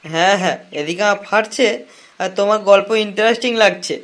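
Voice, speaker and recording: A man voicing a high-pitched whiny wronged young lover. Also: fairly bright tone. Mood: rueful, complaining. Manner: whining, sarcastic, drawn-out lamenting. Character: wronged young lovelorn man, foul-mouthed, whiny, jailed. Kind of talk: comic cartoon dialogue in colloquial Bengali slang.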